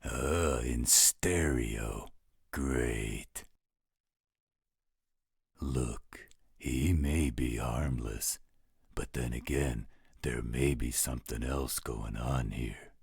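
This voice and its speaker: A man voices an overprotective male werewolf for a roleplay audio drama. The voice is extremely low and husky, with a very slow, teasing delivery. He sounds gruff but loyal. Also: dry recording, studio quality, exaggerated voice. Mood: wry suspicion. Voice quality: gravelly, husky.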